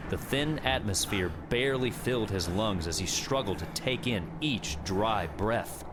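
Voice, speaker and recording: A man's voice: lowish voice, animated, unhurried, background noise. A man reading a scripted adventure audiobook as a dramatic narrator.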